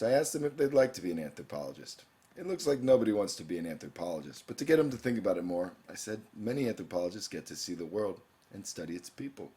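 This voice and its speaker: Male teacher, young adult, very low voice; plain explanatory screencast narration. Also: mellow. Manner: plain explanatory, reading quiz questions aloud.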